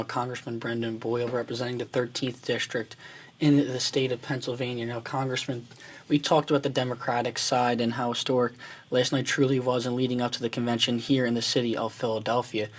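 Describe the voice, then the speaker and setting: A male radio host introduces his guest and poses questions at a steady clip. His delivery stays flat, with little rise or fall in pitch, and the whole effect is plain and level.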